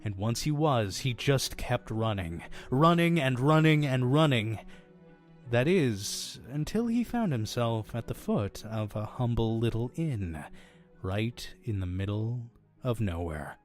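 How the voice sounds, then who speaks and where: A man playing a sardonic, sinister innkeeper who narrates grisly horror tales in an audio drama, with dramatic pauses. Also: mid-range voice, expressive, soft background music. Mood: grim, suspenseful. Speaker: storytelling narrator in character. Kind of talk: theatrical horror anthology narration, shifting to wry asides.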